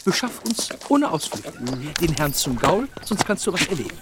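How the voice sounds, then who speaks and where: An actor in a radio play playing a brusque village barber who threatens and corrects. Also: male voice, a little high, sing-song, normal speed, heavy background noise. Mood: stern, brusque.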